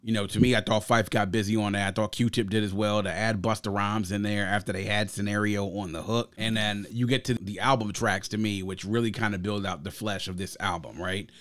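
A man's voice: fairly low pitch, steady tone, a little fast, few pauses, a bit bright, no echo. A man hosting a music podcast, giving casual, opinionated album commentary in an emphatic, slangy way. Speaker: podcast host reviewing music.

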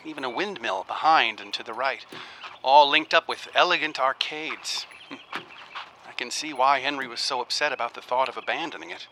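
An actor playing an earnest, uneasy researcher in a radio drama, speaking in a halting, musing way as if keeping an audio diary. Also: male voice, a little high, sharp tone, low-quality recording. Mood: wondering, musing. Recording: faint noise behind the voice.

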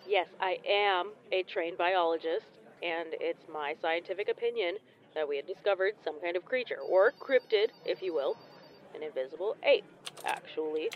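High-voiced wry man playing a biologist. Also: moderate pace, lo-fi sound, close to an everyday voice. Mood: proud, emphatic. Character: wry, self-assured biologist who teases colleagues. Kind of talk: audio drama acting.

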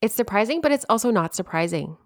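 A woman's voice: mid-range voice, animated, fast talker, dry recording, studio quality. A woman co-hosting a podcast, chatting casually in a reflective, hedging way with frequent laughter. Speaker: podcast co-host. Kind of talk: casual chat.

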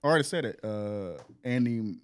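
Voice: mid-range voice, lively intonation, brisk. A podcast co-host in casual comedic chat, swearing and dismissing things as a joke, recorded with some background noise. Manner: profane, dismissive joking.

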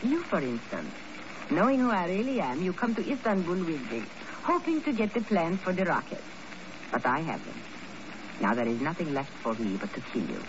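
A woman playing a poised, duplicitous, coolly calculating spy in a 1950 radio drama, with dramatic, deliberate, formal phrasing. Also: fairly low pitch, big pitch swings. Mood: menacing. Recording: muffled, noisy old radio broadcast.

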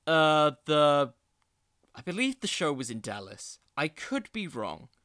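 Male podcast host, higher-pitched, clean close dry sound, casual commentary, rambling, self-deprecating. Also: big pitch swings, a little slow, fairly bright tone, no room sound.

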